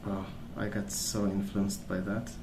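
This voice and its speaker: A man rambling casually in a soft-spoken ASMR style, hesitant and meandering as he hosts. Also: lowish voice, level tone, measured pace, reverberant, noisy background. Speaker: ASMR video host.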